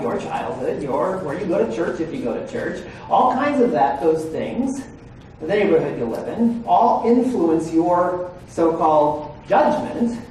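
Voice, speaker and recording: A man's voice: high voice, darkish tone, far from the mic. A man in his forties gives a conversational lecture to a seminar audience. He keeps checking in with his listeners. His voice comes from well away from the mic in a very echoey room with a faint hiss of background noise. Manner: frequent "right?" and "okay?" check-ins with listeners. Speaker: presenter at a legal training seminar.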